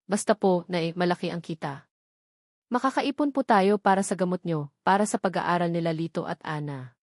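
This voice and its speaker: A woman's voice: fairly low pitch, moderate pace, no room sound, studio quality, close to an everyday voice. A woman narrator voicing a dutiful, troubled young provincial daughter in an audio drama, hesitant and respectful. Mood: resigned, reassuring.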